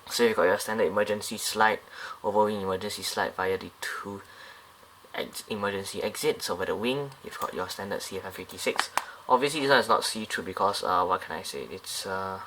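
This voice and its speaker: A man's voice: sits low, a little fast, pushed and bright, a little hiss or hum. A man casually reviewing a scale model airliner up close, talking in a loose, rambling way with many fillers and restarts.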